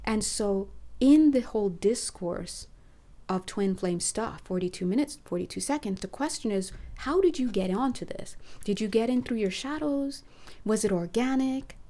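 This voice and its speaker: A hoarse woman giving a rambling, earnest spiritual talk, putting direct questions to her listeners. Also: medium pitch, animated, normal speed, dark tone. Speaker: spiritual reader. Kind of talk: casual spiritual talk to camera.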